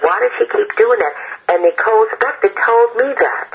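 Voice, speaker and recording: A woman's voice: fairly high pitch, lively intonation, moderate pace, vocal fry. An older woman, a singer being interviewed, telling stories bluntly and with animation over a muffled phone line. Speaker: guest singer.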